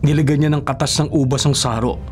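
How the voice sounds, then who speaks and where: An actor playing an imprisoned royal baker seeking help in a Filipino radio drama, speaking in a measured, respectful way. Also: male voice, medium pitch, steady tone, close to an everyday voice. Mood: narrating a dream.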